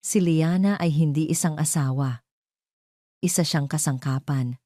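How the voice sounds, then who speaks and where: A woman's voice: low-pitched, a little slow, warm tone, dry recording, clean studio sound. A woman narrating a scripted dramatic story.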